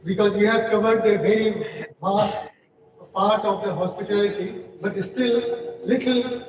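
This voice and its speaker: A man's voice: high voice, steady tone, a little slow. A man putting a spontaneous question to a guest at a student event. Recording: distant, echoey hall, dull and noisy.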